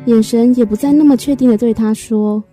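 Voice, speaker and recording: A woman playing a reflective first-person storyteller in a radio drama, narrating in a measured, literary way. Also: on the high side, fairly even intonation, dark tone, faint music behind, lightly acted. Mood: wistful, reflective.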